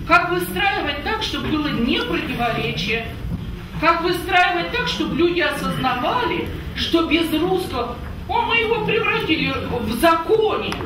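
Brief: woman in her fifties, high lively voice, insistent meeting speaker; recorded from afar in a boomy hall. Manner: emphatic, lively, repeats for emphasis, keeps asking "understand?". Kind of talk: impassioned public remarks. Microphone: far camera, no microphone. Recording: echoey hall from far off with noise.

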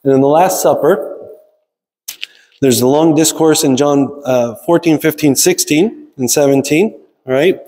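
Mellow male preacher in a reverberant hall. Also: a little high, a little slow. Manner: explanatory, frequent checking tags like "right?" and "okay?". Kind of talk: sermon, conversational teaching. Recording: long reverb of a large hall.

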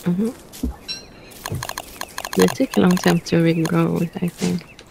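Woman, gravelly low voice, flat, noisy distant line. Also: slow. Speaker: co-op gaming partner. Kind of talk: casual gameplay chat.

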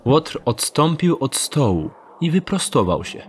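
A man narrating an audio drama in an even storytelling tone. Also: on the high side, measured pace, a little hiss or hum.

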